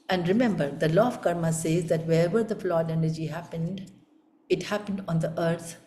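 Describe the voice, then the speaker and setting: A woman spiritual teacher with an Indian accent speaks in an echoey room. Her voice is fairly low and very soft-edged, with a dark, mellow tone. She delivers her discourse earnestly, leaning on key words and repeating phrases for weight.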